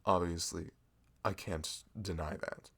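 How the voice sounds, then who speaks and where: A man's voice: fairly even intonation, a little slow, a bit bright, exaggerated voice. A man playing a sweet, bashful young male florist in a chatty, hesitant ASMR roleplay, with a velvety voice. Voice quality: velvety, low, creaky. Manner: chatty, hesitant, rambling, self-deprecating. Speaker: ASMR voice performer. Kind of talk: ASMR roleplay, improvised casual chat.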